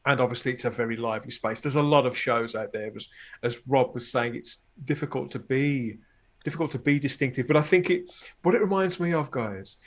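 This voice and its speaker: A man's voice: medium pitch, a little fast, fairly bright tone. A man in his forties chatting casually as a podcast guest, jokey and rambling.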